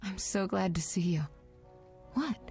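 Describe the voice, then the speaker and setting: An actress playing an affectionate, vulnerable sorceress in a video game, earnest and emotional. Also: female voice, medium pitch, animated, a little slow, a little mellow, faint music behind. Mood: relieved, wistful.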